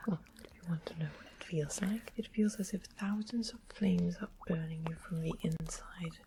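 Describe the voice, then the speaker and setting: A woman playing a sly, sweet-mannered witch in a whispered ASMR fantasy roleplay, explaining things slowly and deliberately, close to the microphone. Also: fairly low pitch, gravelly. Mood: calm, sly. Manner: slow, deliberate, savoring explanations. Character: sweet-smiling, sly witch of the west, fantasy potion-keeper. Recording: close whispered recording with constant background noise.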